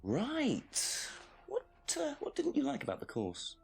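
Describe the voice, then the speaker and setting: A man's voice: very high voice, wildly swooping pitch, measured pace, creaky, full character voice. A man playing a probing police detective in a mystery game, questioning in a pointed, theatrical way. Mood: inquisitive. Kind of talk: acted interrogation in an FMV game. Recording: faint music under game dialogue.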